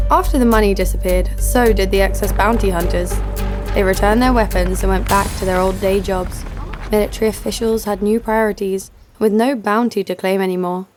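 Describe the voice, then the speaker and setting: A cynical bounty hunter played by a woman tells her own story in an audio drama. She is blunt, foul-mouthed and sardonic, with a mid-pitched voice. Music plays clearly behind her. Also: a little mellow, close to an everyday voice. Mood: wry, incredulous. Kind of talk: first-person audio drama narration and dialogue.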